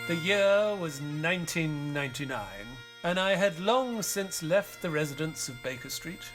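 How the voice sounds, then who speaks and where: An actor playing an elderly retired doctor and author in an audio drama, narrating in a measured, solemn, wistful way. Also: male voice, a little high, lively intonation, music in the background. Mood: reflective, solemn. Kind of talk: dramatic first-person scripted narration.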